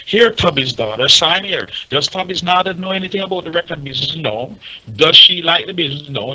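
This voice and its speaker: A man's voice: mid-range voice, sharp tone, rough recording. A man with a Caribbean accent making his case emphatically in a panel discussion, repeating points and asking rhetorical questions. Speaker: talk show panellist on music business.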